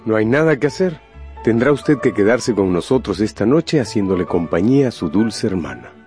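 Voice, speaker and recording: Guy playing a kind polite young gentleman, solicitous, lowish voice, radio play w music. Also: animated, normal speed, natural voice. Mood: concerned. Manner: courteous, solicitous. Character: kindly, eager young English gentleman, amiable suitor. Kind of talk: radio drama acting.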